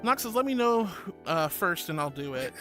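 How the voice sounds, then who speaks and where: A woman's voice: lowish voice, very animated, normal speed. A woman streamer chatting casually with her viewers, reading their comments aloud and calling them darling, honey and sweetie with a playful, giggly manner. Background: background music.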